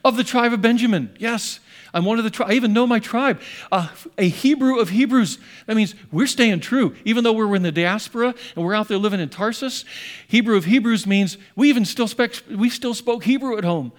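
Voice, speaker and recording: High-voiced animated preacher. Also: male voice, a little fast. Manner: animated, voices the apostle in first person with rising emphasis. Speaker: pastor. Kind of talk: sermon.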